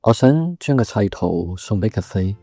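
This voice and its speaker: Brooding young man, very dark voice. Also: on the low side, very animated, normal speed, breathy edge, no room sound, faint music behind, heavily acted. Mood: brooding, terse. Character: mysterious, taciturn, wounded young man. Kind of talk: radio drama acting.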